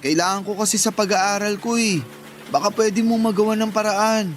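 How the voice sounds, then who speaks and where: A man's voice: high-pitched, steady tone, moderate pace, slight background noise, lightly acted. A man playing a cheeky, wheedling student younger brother in a radio drama, playful and cajoling.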